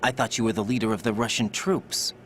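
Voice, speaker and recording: A man's voice: fairly low pitch, steady tone, a little fast, a little hiss or hum, natural voice. Man acting a terse, confused young soldier hero. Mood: puzzled, suspicious. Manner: terse, disbelieving questions. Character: confused young male soldier, earnest questioning game hero. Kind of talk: dramatic video game cutscene acting.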